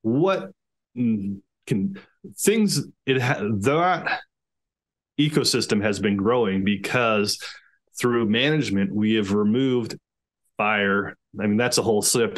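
A man in his thirties, an organic farmer, talking eagerly over a video call with many restarts. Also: on the low side. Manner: enthusiastic, rambling with many restarts. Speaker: organic chicken farmer, podcast guest. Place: small room indoors.

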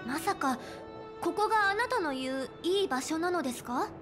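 A woman's voice: very high-pitched, expressive, normal speed, music in the background. A woman voicing a bookish, earnest young woman in an anime game, speaking politely and precisely. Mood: polite, curious. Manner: polite formal speech, precise, studious.

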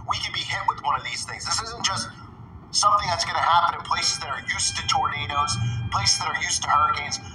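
A man talks insistently and emphatically, and his voice comes across as slightly ill. His tone is very bright and a bit high. He was recorded from a distance in an echoey room. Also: slight background noise. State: sick, voice a little off. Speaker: channel host. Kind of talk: commentary over news clips.

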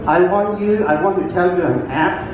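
An older man whose first language is Cantonese, telling jokes at a cruise ship talent show into a handheld microphone, with pauses and fillers. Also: muffled recording, noisy background. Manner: chatty joke-telling with frequent pauses and fillers. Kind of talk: stand-up comedy. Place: large atrium on a cruise ship. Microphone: handheld microphone through a PA, filmed from afar. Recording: booming PA echo from a distant camera.

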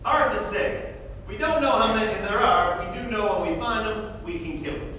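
A man's voice: sits high, expressive, normal speed. A man playing an unflappable, folksy sheriff who answers in a laconic, matter-of-fact way in a puppet show. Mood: unbothered. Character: unflappable hillbilly sheriff, folksy adult male. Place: puppet show stage in small dark theatre. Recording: noisy echoey hall from far off.

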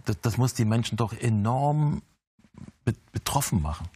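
An older man hosting a studio interview, asking long measured questions and explaining background with many pauses and ums. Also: mid-range voice, lively intonation, warm tone, husky, no room sound. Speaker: TV interview host.

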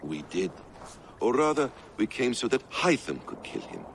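An actor playing a shrewd, calm middle-aged assassin mentor from abroad in a game drama, knowing and deliberate. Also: male voice, mid-range voice, creaky, a little hiss or hum. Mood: assured. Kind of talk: cinematic video game drama.

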